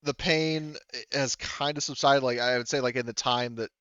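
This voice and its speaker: A man's voice: mid-range voice, steady tone, moderate pace, sharp tone, clear, resonant tone, no echo, lo-fi sound. A man narrating a tabletop roleplaying game as game master, casually and in a rambling, informal way. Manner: informal, rambling, often swearing.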